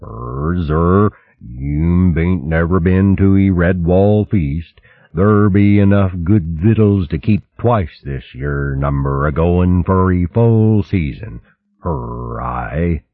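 A man's voice: low-pitched, takes it slowly, no echo, phone-quality sound. A man voicing a rustic male mole who talks in broad country dialect. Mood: cheerful.

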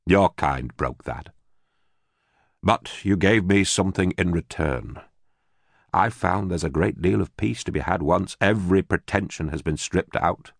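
A man's voice: sits low, a little slow, gravelly, no echo, clean studio sound, exaggerated voice. A man voicing a frightened, bitter former official in an audiobook, pleading and stammering nervously. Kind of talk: audiobook character voice.

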